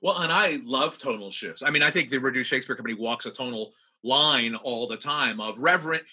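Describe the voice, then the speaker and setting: A man hosting a casual interview, talking in an animated, rambling way. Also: mid-range voice, fairly quick, breathy edge. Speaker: podcast host, interviewer.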